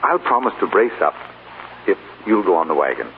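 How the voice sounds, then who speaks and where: An actor playing a troubled, self-mocking, tender husband in a 1940s radio drama, hesitant and wry. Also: male voice, sits low, big pitch swings, fairly quick. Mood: wry, playful. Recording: scratchy, tinny 1940s radio broadcast.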